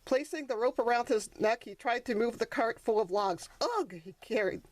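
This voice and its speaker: High-voiced woman narrating a storybook. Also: normal speed, darkish tone. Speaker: author reading her children's book.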